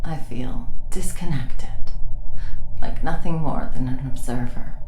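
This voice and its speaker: Woman voicing a weary immortal enemy; very low, slow, whispered delivery. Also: echoey room, rough recording. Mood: weary pleading. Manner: soft, slow, often whispered. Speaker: voice actor. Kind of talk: ASMR roleplay.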